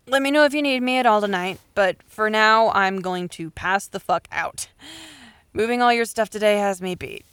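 An actress playing a tired, caring friend in an audio drama, talking in a casual, reassuring way. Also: female voice, mid-range voice, a little slow, fairly bright tone, smooth, no room sound, natural voice. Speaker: voice actor. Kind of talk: naturalistic audio drama acting.

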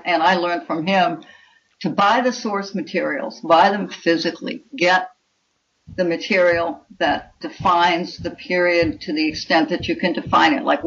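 A woman's voice: fairly low pitch, lo-fi sound. An older woman speaking as a guest author in a conversational interview, explaining things articulately.

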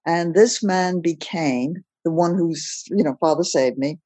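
An older woman from Belgium, recounting her life story as a podcast guest in a measured, plain-spoken way. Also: lowish voice, dark tone, dry recording. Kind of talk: personal storytelling in a podcast interview.

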